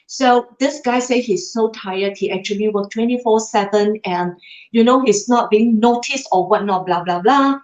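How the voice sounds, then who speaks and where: A middle-aged woman whose first language is Cantonese gives a motivational livestream talk. She speaks straight to her viewers and drops tag questions into her sentences. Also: mid-range voice. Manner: addresses viewers directly, tag questions like "huh?" and "lah". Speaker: livestream host. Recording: roomy, slightly dull livestream audio.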